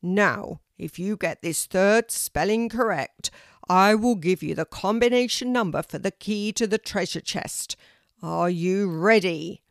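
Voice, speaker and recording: A woman voicing a stern talking oak tree teacher in a children's storybook, loud and commanding with slow, deliberate delivery. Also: gravelly, no room sound, clean studio sound. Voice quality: deep, loud. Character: booming, stern oak tree teacher setting spelling questions.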